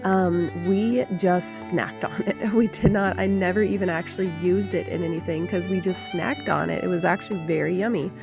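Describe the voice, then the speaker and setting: A woman's voice: fairly low pitch, fairly even intonation, normal speed, creaky, few pauses. Homestead lady vlogging her kitchen, voice a bit off from a cold, lots of ums, faint music under her. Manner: chatty and rambling, with many fillers and restarts. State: getting over a cold, voice a little off. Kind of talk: casual cooking vlog narration. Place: home kitchen.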